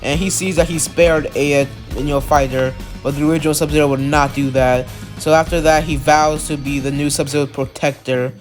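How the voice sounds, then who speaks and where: A man with a slightly high voice recaps a video-game character's history for his channel. He has a cold and rambles, leaning on likes and uhs. Faint music sits underneath his voice. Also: fairly even intonation, a little fast. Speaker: channel host.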